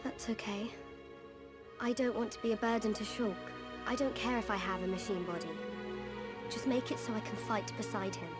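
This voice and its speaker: A woman's voice: mid-range voice, fairly even intonation, moderate pace, over loud music, natural voice. An actress playing a selfless, injured young female fighter in a video game cutscene. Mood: quiet resolve.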